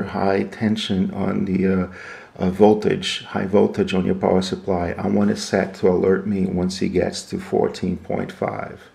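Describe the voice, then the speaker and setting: A man's voice: sits low, vocal fry, warm tone. A man giving a casual, unscripted walkthrough of equipment as a reviewer, explaining things step by step. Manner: explanatory, step by step, asks and answers own questions. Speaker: product reviewer.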